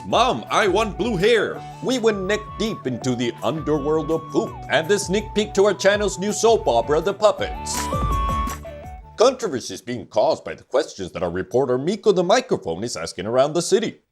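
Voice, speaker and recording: Man playing a lively, pompous puppet news anchor. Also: fairly high pitch. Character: puppet male news anchor, self-important and showy. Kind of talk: mock newscast, English fan dub.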